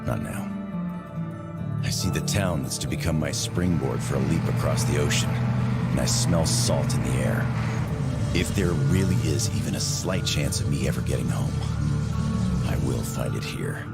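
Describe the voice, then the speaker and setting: A middle-aged American soldier with an exceptionally deep, gravelly voice. He narrates like someone looking back, measured and reflective, quietly determined to get home. Music plays behind this video game monologue. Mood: wistful.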